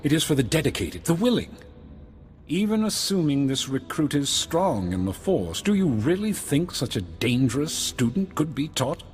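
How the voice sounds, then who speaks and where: An actor playing a stern, reserved master of a knightly order in a video game, speaking formally and with measured care. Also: male voice, on the high side, very animated. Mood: reserved, skeptical. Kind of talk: video game character acting. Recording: long hall reverb, music behind.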